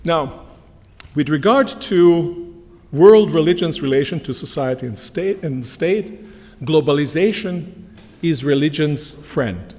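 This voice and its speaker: A middle-aged man giving an academic lecture from a lectern, measured and emphatic. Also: fairly high pitch, lively intonation, a little mellow. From Croatia. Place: large lecture hall, standing at a lectern. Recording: long hall reverb from lectern mic.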